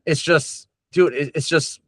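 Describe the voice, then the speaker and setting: A man hosts a laid-back interview in a fairly high voice. The sound is dry and close, and his delivery is eager and full of casual slang. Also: a little slow, no echo. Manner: enthusiastic, slangy, says "dude" and "man". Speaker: podcast host.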